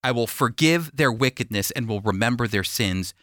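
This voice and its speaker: A man's voice: medium pitch, lively intonation, normal speed, a bit bright, no echo, clean studio sound. A man hosting a radio Bible program, teaching in an emphatic, explanatory, preacherly manner.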